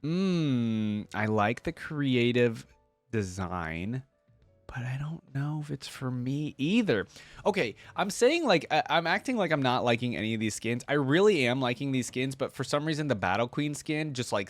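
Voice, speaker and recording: A man's voice: medium pitch, lively intonation, moderate pace, no room sound, soft background music. A man giving casual reaction commentary as a video host, thinking aloud in informal, slangy language.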